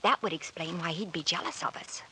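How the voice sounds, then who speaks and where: A woman's voice: lowish voice, fairly quick, pushed and bright. An actress playing an anxious, trusting young woman with an earnest, breathless manner in a 1940s radio drama. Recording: hissy old-time radio recording.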